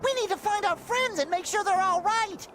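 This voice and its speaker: A man voicing an earnest, excitable young sea sponge in a cartoon game, squeaky and nasal, with eager, naive delivery. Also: moderate pace, music in the background. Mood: alarmed. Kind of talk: cartoon video game acting.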